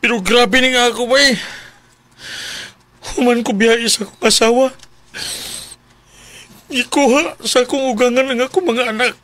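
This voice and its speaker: A man's voice: very high voice, fairly even intonation, a little slow, long pauses, fairly bright tone, no room sound. A man with a Cebuano accent playing a heartbroken working-class father in a radio drama. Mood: drunk misery. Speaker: radio drama actor and narrator.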